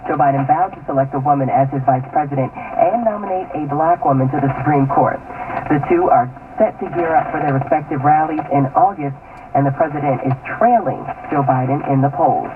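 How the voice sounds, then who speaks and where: A man with a slightly high voice files a scripted radio news report. The audio is narrow and tinny, a little far off, with noticeable static. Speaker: political news correspondent. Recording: tinny, noisy AM radio aircheck.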